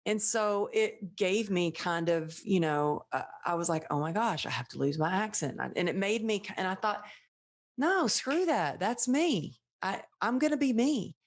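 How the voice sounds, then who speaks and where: A woman from Texas hosting a podcast, telling stories in a lively way and acting out the lines she quotes. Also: medium pitch, moderate pace. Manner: animated storyteller, acts out quoted dialogue. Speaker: podcast host. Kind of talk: casual interview chat.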